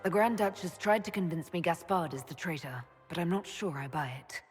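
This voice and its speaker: A woman playing a composed, wary leader in a fantasy game, speaking in a measured, dryly wry way. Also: on the low side, expressive, natural voice. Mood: serious. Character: composed, wary female Inquisitor leading against demons. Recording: long hall reverb, music behind.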